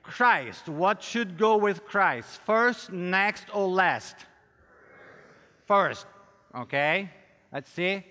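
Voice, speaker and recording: A middle-aged male pastor with a Brazilian accent, native in Portuguese, gives a conference sermon. His voice is bright and fairly high for a man, and he speaks straight to his listeners with self-deprecating humour. The sound carries the long reverb of a hall. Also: animated, drawn-out words, long pauses. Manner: joking, self-deprecating, addresses listeners directly. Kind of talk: conference sermon with audience interaction.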